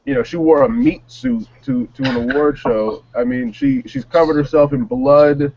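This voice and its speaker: Mid-pitched guy from new jersey, wry blunt opinions in a casual chat, lo-fi sound. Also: wildly swooping pitch, moderate pace, gravelly, darkish tone. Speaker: talk show panelist. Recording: dull lo-fi sound.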